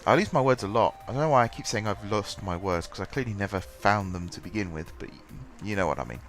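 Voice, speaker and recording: A man's voice: on the low side, expressive, fast, a bit bright. Congested man with a cold. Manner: rambling, many ums and restarts. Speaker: gaming channel host. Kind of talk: casual gameplay commentary. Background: faint background music.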